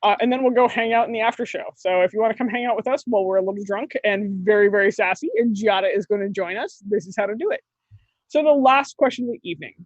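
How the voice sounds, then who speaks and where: A woman co-hosting a casual chat, brisk, blunt and profane. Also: medium pitch, dry recording. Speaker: podcast co-host. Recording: dull lo-fi mic.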